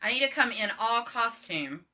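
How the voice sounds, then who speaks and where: A middle-aged woman with a Southern US accent, a shop owner talking loudly and quickly through a casual live product demonstration, with many ums and okays. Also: on the high side, animated. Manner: loud, fast and chatty, with many ums and okays.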